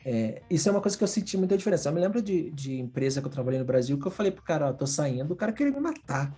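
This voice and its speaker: A man's voice: fairly high pitch, racing, faint music behind. A Brazilian man, a design professor, telling candid stories in a casual, slangy conversation with a Brazilian Portuguese accent. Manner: animated, candid, slangy storytelling. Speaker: guest, design professor abroad.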